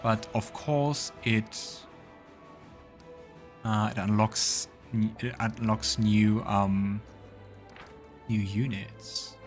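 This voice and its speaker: A man's voice: on the low side, fairly even intonation, very slow, pauses often. Male let's play host over music. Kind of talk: game commentary and read-aloud narration.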